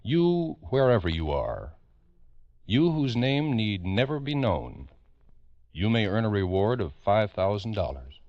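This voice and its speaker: Dramatic, swooping low-voiced 1950s American radio narrator. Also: male voice, very animated. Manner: grave, emphatic, urgent direct address with dramatic pauses. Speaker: narrator and host of a true crime radio show. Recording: dull vintage 1950s radio recording.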